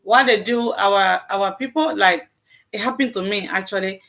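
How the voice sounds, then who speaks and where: Woman, african accent, live stream host, earnest, mid pitch. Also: moderate pace, vocal fry, fairly bright tone. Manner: earnest, laughs often. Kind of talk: casual chat on a live stream.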